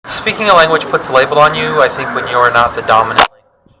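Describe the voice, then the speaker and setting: A man's voice: mid-range voice, fast talker. A young American man answering interview questions hesitantly, with a lot of fillers. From United States. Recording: loud background noise.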